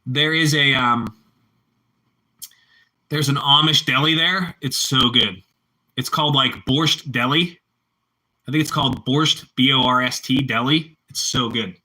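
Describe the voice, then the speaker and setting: A man's voice: mid-range voice, measured pace, long pauses, sharp tone, low-quality recording. A man with a Pittsburgh accent chatting casually with live-stream viewers, rambling and repeating himself as he answers the chat.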